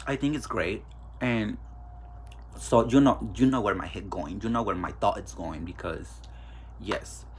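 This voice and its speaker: A man's voice: fairly low pitch, unhurried, background noise. A young man with a Mexican accent hosting a casual solo podcast, chatty, loose and profane. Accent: Mexican Spanish. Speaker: podcast host.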